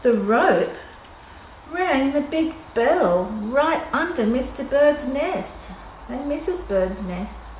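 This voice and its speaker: Older woman, storyteller, slow delivery, expressive, child-directed read-aloud, echoey room with some noise. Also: sits high. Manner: expressive, simple explanatory asides to young listeners. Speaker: storyteller reading a picture book to children. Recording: roomy echo with clear background noise.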